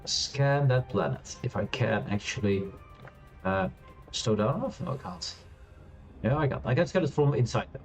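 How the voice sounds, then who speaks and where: A man with a loud voice streams a space game and talks to his viewers in a loose, rambling way, full of fillers and restarts. His pitch swings widely as he chats. Quiet game music plays faintly behind him. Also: very animated, normal speed, gravelly. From Europe. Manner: chatty, rambling, laughs often, many fillers and restarts. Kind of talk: casual gameplay commentary.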